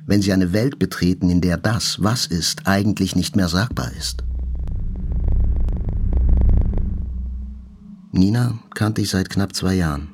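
An actor playing a writer's brooding inner voice in a grave, contemplative literary monologue. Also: male voice, deep voice, normal speed, slight background noise. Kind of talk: literary monologue in audio drama. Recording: clear music behind the voice.

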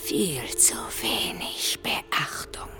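Sinister old woman, whispering slowly. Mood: creepy, tender. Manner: slow, drawn-out whispering. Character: sinister old woman, predatory and eerily tender. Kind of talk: horror audio drama acting.

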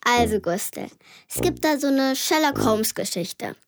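A woman's voice: a little high, huge pitch swings, measured pace, exaggerated voice. A woman playing a precocious older child, telling a family radio play in a knowing storytelling manner. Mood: scheming. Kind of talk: German radio play narration.

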